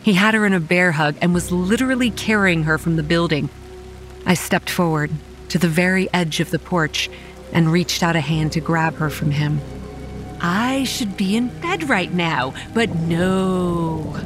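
A woman's voice: fairly low pitch, natural voice, poor audio. Actress as haunted, wry young woman narrating horror. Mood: exasperated, sarcastic. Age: young adult. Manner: grave, measured storytelling with dry sarcastic asides. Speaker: narrator and lead actor. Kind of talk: dramatic first-person horror audio drama narration. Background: quiet music and rain.

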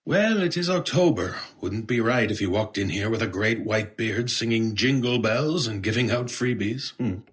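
A man's voice: on the low side, animated, moderate pace, hardly any pauses, natural voice. A man playing a mild, nervy bookstore clerk with a hesitant, dryly joking manner in a radio drama. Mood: wry. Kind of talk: radio drama dialogue, conversational.

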